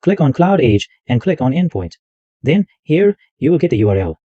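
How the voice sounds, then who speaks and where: A man hosting a screen-recorded software tutorial, narrating every click step by step in loose, repetitive wording. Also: on the high side, sing-song, moderate pace, no room sound. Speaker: tutorial host. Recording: dull lo-fi dry mic sound.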